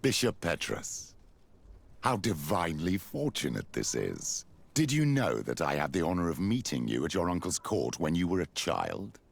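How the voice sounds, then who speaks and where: An actor playing an older, smooth-tongued bishop in a video game, with a courtly, theatrical manner. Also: male voice, low-pitched, big pitch swings, normal speed, heavily acted. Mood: flattering, courtly. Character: older male bishop, flattering, smooth schemer.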